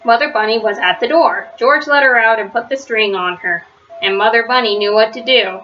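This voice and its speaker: A woman reading a children's story aloud, phrasing it simply and deliberately and stressing words for young listeners. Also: a little high, muffled recording, faint music behind. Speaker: storybook reader. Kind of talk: children's read-aloud narration.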